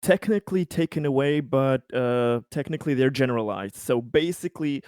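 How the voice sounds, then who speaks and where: Mid-pitched man explaining game rules. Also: fairly quick, a little mellow, no room sound, studio quality. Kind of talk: casual explanatory chat.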